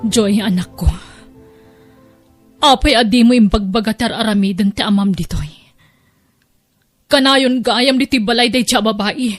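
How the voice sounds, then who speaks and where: A woman plays a betrayed wife and mother in an Ilocano radio drama, speaking in a fairly high voice. She is wounded, angry and resolute, with faint music behind her. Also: a little slow, pauses often. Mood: hurt.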